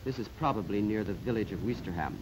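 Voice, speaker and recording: Male narrator, low register, measured analytical newsreel commentary, heavily noise-ridden 1940s soundtrack. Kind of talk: scripted documentary commentary on newsreel. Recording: very noisy 1940s archive film soundtrack.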